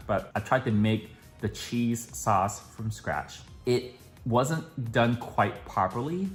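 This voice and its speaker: A young man chatting casually to camera at home in a daily vlog, full of "like" fillers. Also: fairly low pitch. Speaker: vlogger.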